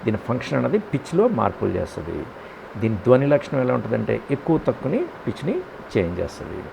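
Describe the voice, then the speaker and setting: A man with a Telugu accent giving a step-by-step software tutorial, posing questions and answering them himself with frequent check-in tags. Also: medium pitch, creaky, background noise. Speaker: audio editing tutor.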